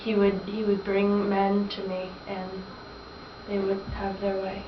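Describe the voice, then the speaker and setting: Haunted young female victim, played by a woman, hesitant and flat, in a noisy, roomy mock interview. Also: medium pitch, natural voice. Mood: subdued, halting. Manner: halting, hesitant. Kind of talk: student-acted mock interview testimony. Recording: echoey, distant, noisy lo-fi room recording.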